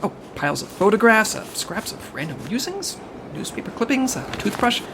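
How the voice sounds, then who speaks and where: An actor playing an earnest, pedantic agent in an audio comedy drama. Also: male voice, sits high, very animated, a little fast, noisy background.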